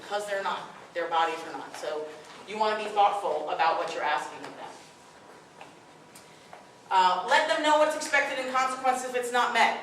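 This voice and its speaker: A woman's voice: a little high, fairly bright tone, a little hiss or hum. A middle-aged woman with a strong voice speaking firmly and directly to a live audience at a parenting workshop. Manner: firm, direct, conversational. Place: room with audience. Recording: echoey room from far off.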